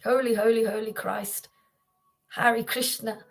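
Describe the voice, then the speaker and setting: A woman's voice: a little high, fairly even intonation, a little slow, gravelly. A woman hosting a devotional livestream, talking informally and repetitively to viewers, with many fillers and restarts, addressing them by name. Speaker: host of a devotional livestream gathering.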